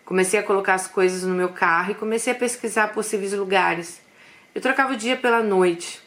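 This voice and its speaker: A woman's voice: medium pitch, fairly quick, a bit bright. A woman telling a personal story in the first person, confiding, with frequent asides to her listeners.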